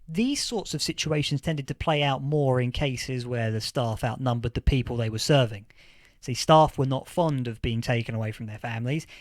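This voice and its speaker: A man playing a thoughtful, earnest history professor in an audio drama interview, explaining things with halting, deliberate emphasis. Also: mid-range voice, dry recording, studio quality, lightly acted. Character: male history professor of a post-apocalyptic future, thoughtful, earnest. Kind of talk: acted interview, lecturing explanation.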